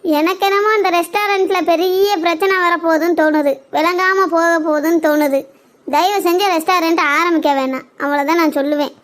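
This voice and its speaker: A woman's voice: very high-pitched, fairly even intonation, fairly quick. A woman voicing a stern, sarcastic older mother-in-law in a cartoon parody, nagging and pessimistic. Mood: gloomy, scornful.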